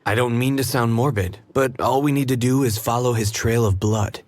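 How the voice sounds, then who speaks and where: An actor playing a composed, earnest detective in an adventure game, courteous and deliberate as he guides his partner. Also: medium pitch, fairly even intonation, lightly acted. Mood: composed, resolute. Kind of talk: scripted adventure game dialogue.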